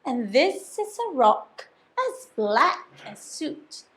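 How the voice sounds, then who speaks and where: A woman reading aloud to young children as a story-time teacher, with an expressive sing-song delivery and coaxing questions. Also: high-pitched, takes it slowly.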